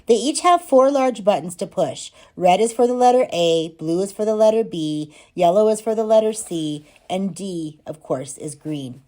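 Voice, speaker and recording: A woman's voice: medium pitch, animated, normal speed, few pauses, dark tone, close to an everyday voice. A woman reading the part of a friendly, encouraging male stage manager and proud dad in a storybook, speaking in a kindly, direct, explanatory way. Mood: explaining.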